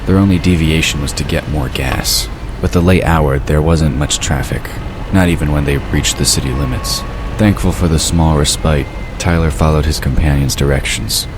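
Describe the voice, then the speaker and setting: A man narrates an audio drama in an extremely low voice. The reading is scripted storytelling, laid over clearly audible ambient and vehicle noise. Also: moderate pace. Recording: noisy background of ambience effects and vehicle sound.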